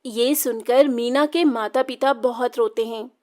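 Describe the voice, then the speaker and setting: A woman's voice: fairly high pitch, steady tone, measured pace, darkish tone. A woman storyteller reading scripted narration for a children's story.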